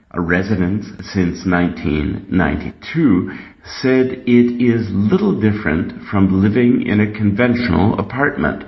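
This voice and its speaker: Slow Canadian man reading aloud, dull recording. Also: on the low side. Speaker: reader of an English exam reading passage. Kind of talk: scripted read-aloud of test text. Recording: dull, lo-fi sound.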